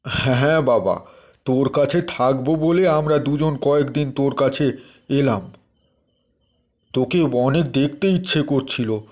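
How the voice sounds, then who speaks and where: A man voicing a kindly elderly village father in a cartoon dub, speaking gently and earnestly. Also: mid-range voice, a little slow, pauses often, close to an everyday voice. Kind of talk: cartoon dubbing.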